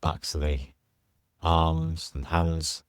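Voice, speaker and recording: A man with a very deep, evenly pitched voice leads a guided meditation. He speaks slowly and soothingly, in short phrases with space between them, as a yoga teacher instructing a relaxation practice. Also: flat delivery, clear, resonant tone, no room sound.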